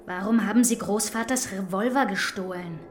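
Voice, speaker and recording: A woman's voice: medium pitch, moderate pace, natural voice. An actress playing a nosy, forthright small-town woman in a crime radio play, direct in speech. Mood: accusing. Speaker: actor in crime radio drama. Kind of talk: radio drama dialogue.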